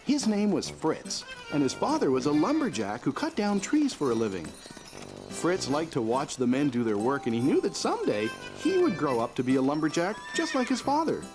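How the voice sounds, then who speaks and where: Very lively cartoon dad. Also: male voice, fairly high pitch, normal speed, low-quality recording. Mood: storytelling. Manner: gentle, expressive storytelling. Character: kind, patient young father of small children. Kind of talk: children's cartoon voice acting, storytelling. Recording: music behind the voice.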